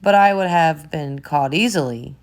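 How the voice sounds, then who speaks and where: A woman teacher reading a children's picture book aloud, expressive, with soft whispered asides. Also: sits low, normal speed, noisy background.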